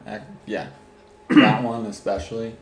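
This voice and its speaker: A man guesting on a casual podcast chat, laid-back and joking in a low-key way. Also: fairly even intonation, unhurried, creaky, a crowd in the background. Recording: echoey room, faint background hum.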